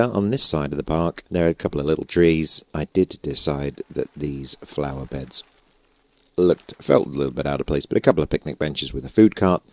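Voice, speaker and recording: British male gamer, hoarse and gravelly, very low, self-mocking rambler, dry sound. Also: dry recording. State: hoarse, gravelly voice after losing it. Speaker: gaming YouTuber. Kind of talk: casual let's-play commentary. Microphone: stage vocal mic close up.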